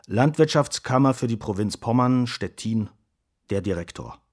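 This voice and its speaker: An actor playing a stern, formal sanatorium doctor in a radio play, reading out reports in a matter-of-fact, officious way. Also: male voice, mid-range voice, animated, normal speed, a little mellow, natural voice. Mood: official.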